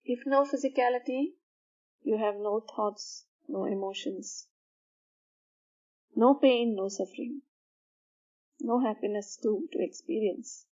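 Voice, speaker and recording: A woman with a somewhat high voice leads a guided meditation for sleep. She speaks soothingly in short, measured phrases with pauses between them. The recording sounds a little dull and lo-fi. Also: dark tone.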